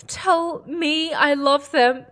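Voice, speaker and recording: A young, emotional female character acted by a woman in a sci-fi audio drama. Her voice is extremely high and she speaks slowly, with earnest pleading. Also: steady tone, fairly bright tone. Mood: pleading, anxious. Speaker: voice actor.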